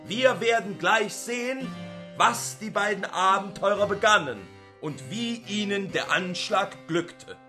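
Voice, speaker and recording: A man's voice: high voice, animated, a little slow, bright, forceful tone, faint music behind. A man delivering scripted radio narration as a narrator introducing what comes next.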